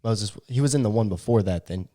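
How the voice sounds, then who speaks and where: A man's voice: fairly low pitch, brisk, dark tone. A man co-hosting a podcast in casual, conversational Bible discussion. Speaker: podcast co-host.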